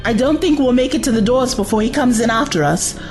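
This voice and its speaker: A woman's voice: fairly high pitch, fairly quick, natural voice. A woman playing a wary, resolute young companion in an audio drama, firm and urgent. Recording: music under the voice.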